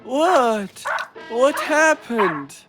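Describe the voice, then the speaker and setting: A woman's voice: high-pitched, big pitch swings, drawn-out words, full character voice. A woman voicing a reluctant, grumpy, cowardly boy in a children's cartoon, grudging and a little whiny, with music behind. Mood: sleepy, grumpy.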